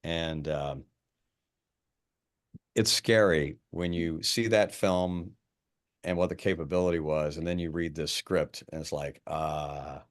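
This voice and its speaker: A man with a low voice talks in a loose, rambling way on a video call. He sounds like a middle-aged actor sharing thoughts with his peers, with a wry edge. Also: slow pace. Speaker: actor in a table read discussion. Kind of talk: casual group discussion.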